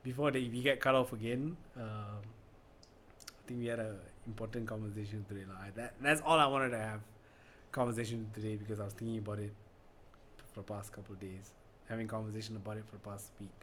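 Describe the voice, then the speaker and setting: A man from Malaysia with a Malaysian English accent, hosting a podcast in casual chat, blunt and animated, swearing freely. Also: on the low side, fairly quick, a little hiss or hum. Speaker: podcast host.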